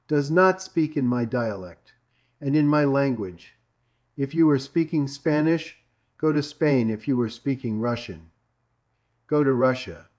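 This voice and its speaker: A man's voice: on the high side, a little mellow. A man from Greece preaching a sermon, emphatic and repetitive, asking rhetorical questions.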